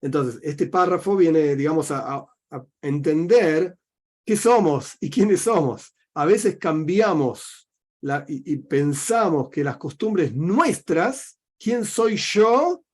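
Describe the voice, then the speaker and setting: A man's voice: on the high side, animated, takes it slowly. A middle-aged man with an Argentine accent, a rabbi giving a religious teaching talk, explaining by asking himself questions and answering them. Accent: Argentine Spanish.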